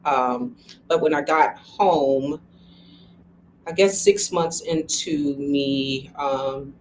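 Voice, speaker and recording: A woman from Philadelphia answering interview questions, reflective and hesitant, often pausing with ums. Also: extremely low pitch, a little hiss or hum, low-quality recording. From Philadelphia, USA. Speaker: interview guest.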